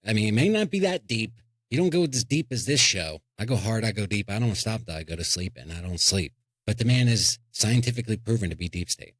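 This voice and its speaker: Lowish rambling man hosting a podcast. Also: no room sound. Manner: rambling, joking, with restarts. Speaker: podcast host. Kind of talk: casual comedic chat.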